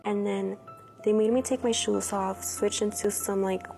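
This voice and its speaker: A teenage girl recounts her ordeal to a reporter in a flat, shaken way. Her voice is gravelly and barely moves in pitch. Music plays clearly beneath the news clip. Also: measured pace. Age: teens. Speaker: interviewee. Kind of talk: news interview.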